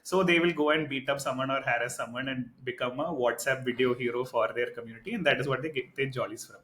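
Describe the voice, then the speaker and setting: A man co-hosting a podcast, talking in a casual, animated, argumentative way and often tagging his points with "right?". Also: mid-range voice, fast talker, a little hiss or hum. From India. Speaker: podcast co-host. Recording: echoey room.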